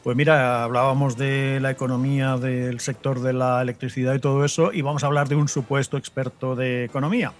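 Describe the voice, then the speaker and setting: A man's voice: mid-range voice, fairly even intonation, brisk, hardly any pauses, soft background music. A man from Spain co-hosting a casual radio show, easygoing and joking. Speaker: radio co-host.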